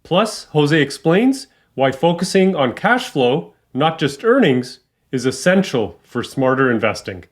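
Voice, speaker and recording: A man's voice: fairly high pitch, big pitch swings, unhurried, hardly any pauses. A man hosting a podcast interview, upbeat and welcoming, with frequent fillers. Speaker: podcast host.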